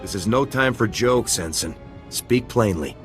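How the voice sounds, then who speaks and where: A man's voice: on the low side, animated, measured pace, a bit bright. An actor playing a serious male squad commander who speaks tersely and bluntly. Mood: stern. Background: music.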